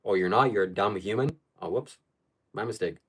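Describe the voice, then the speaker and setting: A man from Ukraine hosts a casual live stream, reading chat questions and answering them bluntly. His voice sits a little low, and his opinions come out flat and certain. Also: a little fast, husky.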